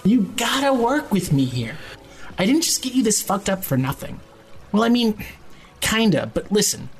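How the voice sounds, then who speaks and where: An actor playing a drunk, blunt, foul-mouthed young man who insistently and gruffly looks out for his friend in an audio drama. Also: high voice, sing-song, normal speed. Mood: insistent, tipsy. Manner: slangy, insistent, gruffly caring. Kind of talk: audio drama acting, naturalistic.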